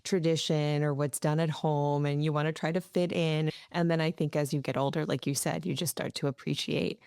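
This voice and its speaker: A woman's voice: deep voice, fairly even intonation, brisk, creaky, darkish tone, smooth, no room sound, clean studio sound. A woman from the United States hosting a podcast interview, talking through ideas thoughtfully. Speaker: podcast host. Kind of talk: casual interview chat.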